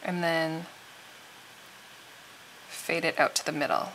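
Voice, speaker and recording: A woman's voice: deep voice, fairly even intonation, takes it slowly, a bit bright, a little hiss or hum, rough recording. A woman giving a casual, chatty tutorial voice-over, sounding a little tired and froggy. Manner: informal, chatty. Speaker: nail art tutorial host.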